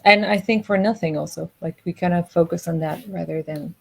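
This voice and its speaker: A woman from Israel hosting an interview podcast, chatty and animated, laughing easily. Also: on the low side, fairly quick, gravelly. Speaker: podcast host. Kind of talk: casual interview chat.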